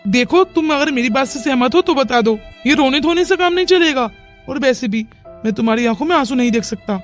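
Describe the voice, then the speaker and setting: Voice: high-pitched, animated, a little fast. A performer voicing an earnest, persuasive young village man in a cartoon moral story. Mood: insistent, reassuring. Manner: insistent, reassuring. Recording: music behind the dialogue.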